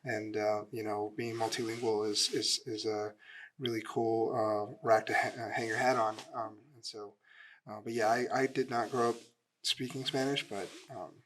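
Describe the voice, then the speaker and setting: A man's voice: fairly low pitch, level tone, normal speed, a bit bright, slight background noise. Young man rambling with fillers. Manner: rambling, many fillers and restarts. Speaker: panelist. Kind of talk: casual panel answers.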